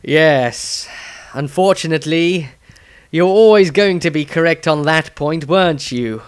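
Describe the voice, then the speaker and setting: A man's voice: on the high side, a little slow, clean tone, pitched above the performer's own voice, close to an everyday voice. A man voicing a proud male council wizard who speaks tersely and gives way reluctantly.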